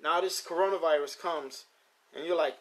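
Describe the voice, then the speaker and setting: A man's voice: on the high side, moderate pace, rough recording. A man in his fifties hosting a live stream about his pigeons, talking casually and repeating points for emphasis. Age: 50s. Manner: loose, repetitive, emphatic street-casual talk. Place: at home near his pigeon loft.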